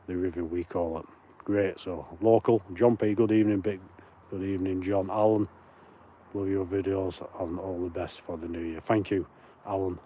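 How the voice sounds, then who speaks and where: A man's voice: sits low, mellow. A man with a broad Lancashire accent walking on open moorland at night, chatting casually on a livestream and greeting viewers by name as he reads their comments. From Lancashire, England. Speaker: paranormal livestream host.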